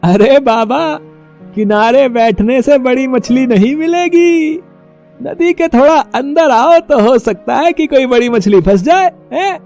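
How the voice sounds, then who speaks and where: High, creaky, mocking fisherman. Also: darkish tone, soft background music, full character voice. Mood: teasing. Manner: mocking, drawn-out sneering. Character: taunting, sarcastic younger male fisherman in a cartoon.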